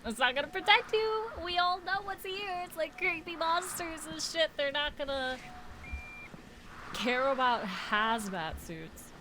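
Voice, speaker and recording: A woman's voice: sits high, animated, a little slow. A woman streamer giving casual, informal reaction commentary with a wry, joking manner. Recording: noisy, film audio behind voice. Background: sound of the horror video being watched.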